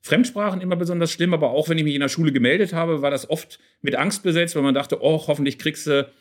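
A man's voice: a little high, fast. A middle-aged man co-hosting a casual football discussion, explaining things earnestly and at length. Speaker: podcast co-host.

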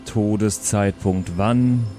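A man's voice: on the low side, fairly even intonation, slow pace, dark tone, faint music behind. A man playing a curt, pedantic old bureaucrat of heaven with weary, clipped official phrasing in an improvised audio play. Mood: officious, impatient.

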